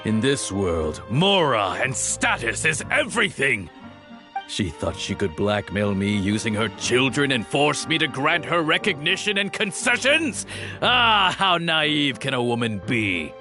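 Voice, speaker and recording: A man's voice: medium pitch, very animated, a little slow, over music. An actor playing a cruel, arrogant wealthy father as a sneering, theatrical villain in a game. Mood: contemptuous.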